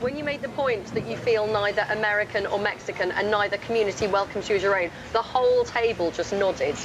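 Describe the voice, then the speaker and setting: A woman moderates a group discussion, asking probing questions in an even voice. She sits with her guests on an outdoor restaurant balcony, and traffic noise runs beneath her speech. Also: medium pitch, brisk. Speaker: host.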